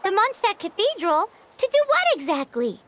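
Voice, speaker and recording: A woman voicing an excitable tiny female fairy companion in a video game cutscene, squeaky and eager, asking questions and exclaiming. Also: moderate pace, heavily acted. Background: game soundtrack music, loud.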